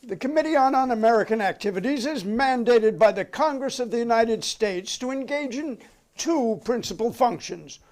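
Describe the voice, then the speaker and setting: A man's voice: very high-pitched, lively intonation, moderate pace, darkish tone. A man reading the part of a formal, insistent committee counsel questioning a witness in a dramatic reading of a 1950s hearing. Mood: official. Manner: courtly, official, insistent.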